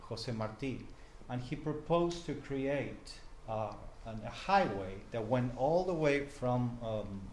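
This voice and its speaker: A man with an Argentine accent gives an informal lecture in English, his second language. He speaks in halting phrases with frequent restarts, at a middling pitch. The echoey room and a faint hiss come through behind him. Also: expressive, a little mellow. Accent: Argentine Spanish. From Argentina (Buenos Aires). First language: Spanish. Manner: halting, many fillers and restarts, asks the room questions. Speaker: guest speaker, journalist and professor.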